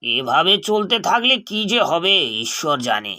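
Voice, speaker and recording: High-voiced, fretful village father played by a man. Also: very animated, normal speed, pushed and bright. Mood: weary, grumbling. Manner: fretful, lamenting. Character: poor, troubled village husband and father.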